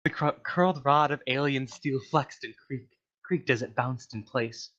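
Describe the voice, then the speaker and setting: Male amateur storyteller, mid-pitched, stumbles and corrects himself, lo-fi recording. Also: normal speed, a bit bright. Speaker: reader of a comedic story to friends in a voice channel. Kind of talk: amateur dramatic story narration. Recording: dull lo-fi voice chat audio.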